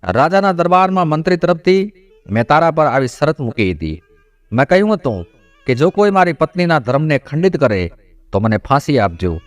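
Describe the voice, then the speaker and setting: A man's voice: fairly high pitch, sing-song, moderate pace, no room sound, soft background music. A man voicing a loyal servant, betrayed and accusing, in a folk story. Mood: bitter accusing.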